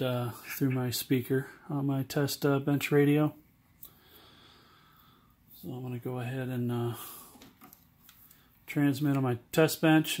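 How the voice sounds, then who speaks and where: A man giving an informal, halting, matter-of-fact product demonstration at an indoor workbench. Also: medium pitch. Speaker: seller demonstrating a CB radio. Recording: loud radio static, noisy amateur recording. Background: CB radio receiver noise.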